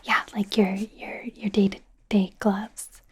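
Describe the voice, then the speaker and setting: A woman playing an eager, friendly, slightly awkward female werewolf doctor in an ASMR medical exam roleplay, speaking in a soft breathy whisper with a hesitant, rambling manner. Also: medium pitch, flat delivery, slow. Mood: earnest explaining.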